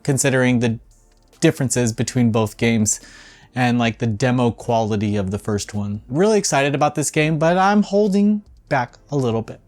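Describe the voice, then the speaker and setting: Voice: medium pitch, darkish tone. A video game news host giving casual, opinionated commentary in an informal, blunt way, with some swearing.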